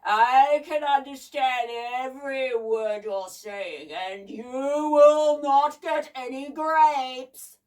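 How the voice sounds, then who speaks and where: A woman voicing a huge, boastful, menacing clockwork giant in a children's story, with a crackly, scratchy, booming voice and a snarling manner. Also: a little mellow, far from the mic. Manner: booming, snarling, drawn-out delivery.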